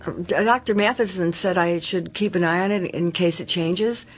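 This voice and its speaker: A woman's voice: fairly low pitch, normal speed, soft background music, close to an everyday voice. An actress playing a timid, anxious female patient in a radio drama, speaking hesitantly with nervous stammers.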